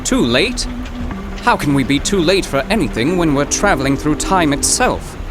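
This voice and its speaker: An actor playing an earnest, poetic adventurer who speaks in florid, theatrical phrases in an audio drama. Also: male voice, on the high side, sing-song, moderate pace, over music. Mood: earnest, questioning. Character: earnest, wordy, poetic male adventurer, easily carried away.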